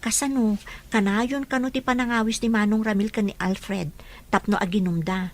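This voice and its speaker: A woman's voice: mid-range voice, fairly even intonation, moderate pace, lightly acted. A woman with an Ilocano accent acting a gossipy young friend sharing news in an audio drama. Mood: confiding.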